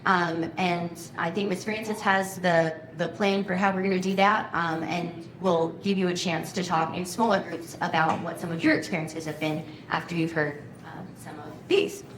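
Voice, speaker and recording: A woman's voice: lowish voice, fairly even intonation, moderate pace, hardly any pauses, a crowd in the background. A woman moderating a panel, addressing a room of students in an upbeat, organizing way with many fillers. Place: high school room. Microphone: handheld microphone.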